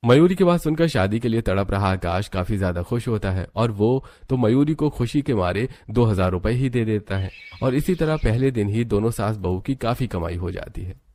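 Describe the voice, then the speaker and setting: A man's voice: fairly low pitch, lively intonation, normal speed, hardly any pauses, mellow. A man narrating a scripted moral story as its storyteller.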